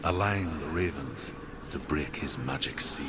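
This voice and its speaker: An actor playing a grim, solemn storyteller guide in a game, speaking in a deep, breathy voice. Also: male voice, a little slow, noisy background. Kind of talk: dramatic game narration. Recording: music under the voice.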